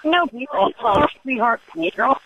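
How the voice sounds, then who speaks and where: Prank-call role, female performer: indignant, flirty, pushy woman with a higher voice, rambling complaint, lo-fi telephone sound. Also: lively intonation, moderate pace, creaky. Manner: rambling, interrupting, pushy, calls listener sweetheart. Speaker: prank caller. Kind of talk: improvised prank phone call. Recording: dull lo-fi phone line.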